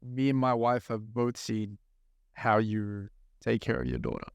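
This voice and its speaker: A man in his thirties with a New Zealand accent speaks at a middle pitch with a gravelly edge, earnest and reflective as he asks probing questions. The recording is close and dry, right at the mic. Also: sing-song, measured pace, dry recording. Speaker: podcast host. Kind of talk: casual interview chat.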